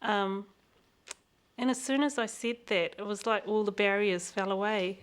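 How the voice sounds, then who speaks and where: A woman with a New Zealand accent talks frankly as an interview guest. She sounds candid and reflective, and she laughs easily. Her voice sits at a middle pitch for a woman. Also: steady tone, moderate pace, clear, resonant tone. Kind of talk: frank personal conversation.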